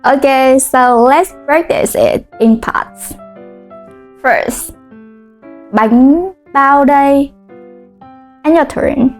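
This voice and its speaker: Vietnamese-accented woman teacher, high voice, slow and clear, leads repeat-after-me drills. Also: soft background music. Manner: slow and clear, modelling phrases for repetition. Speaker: language teacher. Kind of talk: language lesson.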